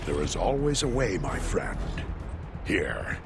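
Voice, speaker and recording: A man's voice: sits low, sing-song, slow pace, creaky, heavy background noise, exaggerated voice. A man playing a noble, resolute giant robot commander in a video game, speaking in a solemn, formal, grand way. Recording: loud music and battle sounds behind.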